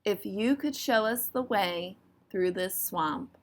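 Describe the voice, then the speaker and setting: A woman voicing a big, grumpy, sleepy male bear with a slow growl in a children's storybook. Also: mid-range voice, close to an everyday voice. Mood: determined. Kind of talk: children's storybook read-aloud.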